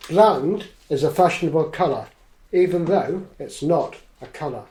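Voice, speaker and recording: A man playing a sardonic, self-important poet in a radio drama, deadpan and sarcastic in his declaiming, in an echoey room. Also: on the high side, a little slow, a little hiss or hum. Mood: deadpan reciting.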